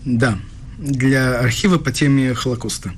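A man's voice: mid-range voice, fairly even intonation, a little slow, slight background noise, lightly acted. An actor playing a polite young male doctoral researcher in a radio play, a guarded interviewer who speaks courteously and a little hesitantly. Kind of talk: dramatic radio dialogue.

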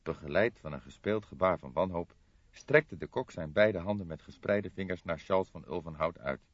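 A man reading a crime story as an audiobook narrator, in expressive scripted narration. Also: low-pitched, normal speed, slightly rough, no room sound. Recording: dull lo-fi audiobook recording.

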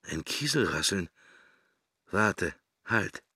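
A man's voice: low-pitched, lively intonation, slow, pushed and bright, breathy edge, no room sound, clean studio sound, heavily acted. An actor playing a pensive middle-aged man in a radio drama, musing to himself wryly and morbidly in short, broken fragments. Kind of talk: German radio drama, interior monologue.

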